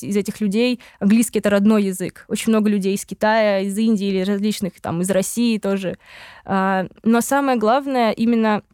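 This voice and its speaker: A woman's voice: mid-range voice, a little mellow, clean tone, no echo, clean studio sound. A young woman answering interview questions about her internship, with frequent hesitations and restarts. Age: young adult. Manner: hesitant, many fillers and restarts.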